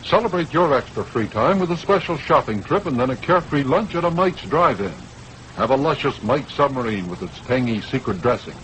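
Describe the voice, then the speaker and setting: Very animated American radio ad announcer. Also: male voice, medium pitch, brisk. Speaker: commercial announcer. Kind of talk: scripted 1960s radio advertisement. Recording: faintly noisy 1960s radio recording.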